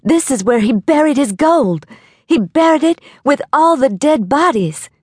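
A woman narrator puts on a male henchman from a storybook thriller. He is folksy and politely blunt, with cool menace underneath. The recording is dry and the pace is unhurried. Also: lowish voice, lively intonation, a little mellow, dry recording. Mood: eager, awed.

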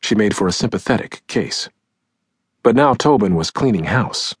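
A man narrating a nonfiction audiobook in a measured, deliberate, dramatic way, with a husky voice, recorded close in a dry studio. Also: on the low side, expressive, no room sound. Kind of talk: scripted nonfiction audiobook narration, acting out quotes.

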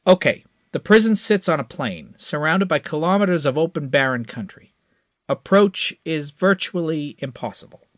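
A man voicing Crash, an excited, slightly nervous young tech whiz. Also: no room sound, clean studio sound, lightly acted. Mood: eager. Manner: excited, quick, pitched up.

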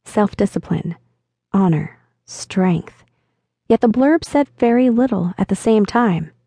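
Woman reading an audiobook, medium pitch, steady and intimate, dry close mic. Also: slow, creaky, slightly rough, no echo. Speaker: audiobook narrator. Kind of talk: scripted narration.

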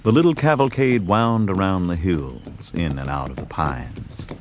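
Very deep-voiced male western narrator. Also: unhurried, background noise. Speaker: audiobook narrator. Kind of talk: scripted dramatic western narration. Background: music and horse hoofbeats.

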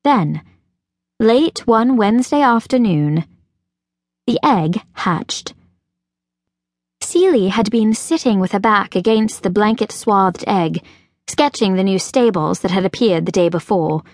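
A woman reading a children's fantasy audiobook as narrator, in a measured, expressive storytelling manner. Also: medium pitch, no room sound, studio quality. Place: recording studio.

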